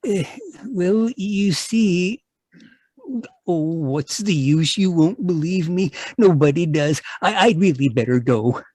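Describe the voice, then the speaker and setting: A man's voice: high-pitched, lively intonation, slow pace, a little mellow, no room sound, exaggerated voice. Man playing stammering, timid little middle-aged man. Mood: flustered. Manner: stammering, hesitant, flustered. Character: timid, nervous, small middle-aged man fearing for his life. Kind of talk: 1940s radio drama acting.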